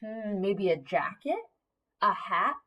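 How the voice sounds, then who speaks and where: Lady teacher doing a read-aloud for little kids, takes it real slow, encouraging, asks lots of questions. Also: mid-range voice. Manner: expressive, simple child-directed delivery with emphasized words. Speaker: teacher of young children. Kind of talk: interactive read-aloud lesson for young children.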